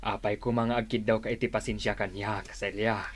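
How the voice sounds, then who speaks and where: A man's voice: lowish voice, flat delivery, fairly quick, natural voice. A man in an Ilocano radio drama playing an easygoing, reassuring household companion.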